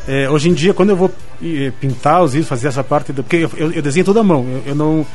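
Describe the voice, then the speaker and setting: Fast-talking man with a Curitiba accent. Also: a little high, a little mellow, faint music behind. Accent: Curitiba Brazilian Portuguese. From Curitiba, Brazil. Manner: rapid, eager explanations full of restarts. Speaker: guest illustrator. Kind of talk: radio interview answers. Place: radio studio.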